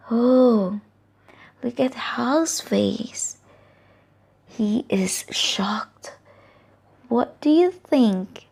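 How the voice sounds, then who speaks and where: A woman from the Philippines reading a picture book aloud to children in a deliberate, questioning storytelling manner, with asides to the listeners. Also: medium pitch, gravelly, a little mellow.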